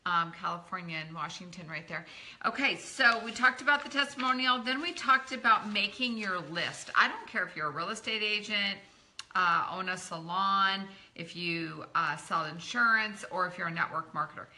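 Bright-voiced chatty woman coaching viewers. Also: medium pitch, moderate pace. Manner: chatty, encouraging, greets viewers by name. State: slightly croaky from talking a lot. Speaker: network marketing coach. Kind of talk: live-streamed training session.

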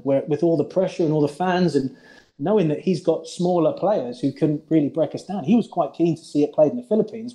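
A man with a mellow, warm voice that sits a little high for a man. He talks earnestly and haltingly, restarting sentences as he looks back on his coaching days. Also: low-quality recording. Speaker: former head coach. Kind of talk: reflective casual chat.

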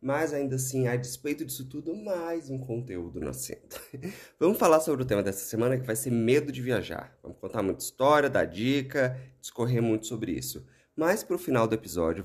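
A Brazilian man with a hoarse voice, hosting a travel podcast in a chatty, upbeat solo talk with playful asides. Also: mid-range voice, a little mellow. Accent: Brazilian Portuguese. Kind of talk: casual solo podcast monologue.

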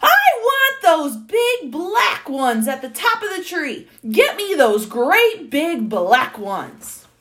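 A woman's voice: sits high, very animated, slow pace, hardly any pauses, fairly bright tone, voice pushed up, heavily acted. A woman voicing a pompous, furious old male duke who blusters and bellows in a storybook reading. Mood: demanding.

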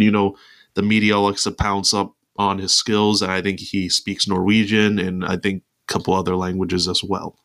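Podcast host, male, stuffed-up nasal voice, lowish pitch, halting delivery with restarts, solo commentary. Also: a bit bright. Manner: hesitant, many restarts and fillers, reading article excerpts aloud. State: congested, nasal voice from a cold.

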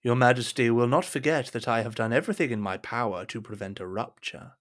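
A man's voice: mid-range voice, brisk, fairly bright tone, lightly acted. A man playing a deferential, scheming cardinal in a period audio drama, speaking with courtly, insinuating politeness. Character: deferential, scheming cardinal serving his king.

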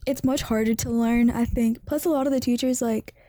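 A woman's voice: fairly high pitch, steady tone, brisk, mellow, no echo. A teenage girl hosting a podcast, speaking in a friendly, thoughtful way and hedging often with "like". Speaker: podcast host. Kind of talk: casual chat.

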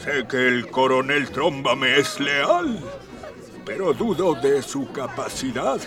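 A man's voice: mid-range voice, expressive, recorded from a distance, over music, a crowd in the background. An actor playing a pompous, aggrieved emperor and father in a scripted radio drama, speaking in a theatrical, grandiose way. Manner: theatrical, drawn-out, grandiose speech.

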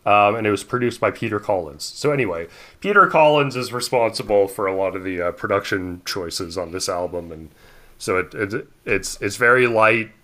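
A man cohosting a music podcast, talking casually from his room in a rambling, laid-back way, trailing off quietly. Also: fairly low pitch, lively intonation, moderate pace. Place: home room.

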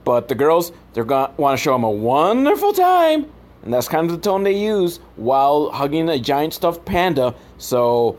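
Animated, sarcastic man ranting comedically. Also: on the high side, moderate pace. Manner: sarcastic, profane. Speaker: podcast host. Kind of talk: comedic film recap commentary, casual rant.

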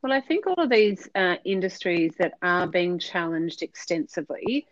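A woman's voice: fairly low pitch, animated, moderate pace, dry recording, muffled recording. A woman speaking as a podcast guest, earnest and explanatory, with frequent fillers. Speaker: podcast guest, business consultant. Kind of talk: conversational interview answers.

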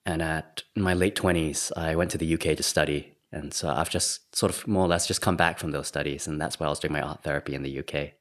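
Man in his 30s, very low voice, relaxed chatty podcast guest. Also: a little fast, few pauses. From Singapore. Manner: chatty and easygoing, with small laughs and hedges. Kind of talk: relaxed conversational storytelling.

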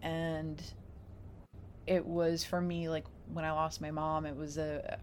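A woman in casual, reflective conversation, telling stories in an animated way and acting out imagined quotes. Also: sits low, slow, a little hiss or hum.

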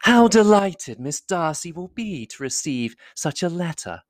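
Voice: medium pitch, very animated, unhurried, no room sound, clean studio sound, pitched above the performer's own voice. A reader voicing a fawning young society lady in a classic novel audiobook, effusive and coquettish.

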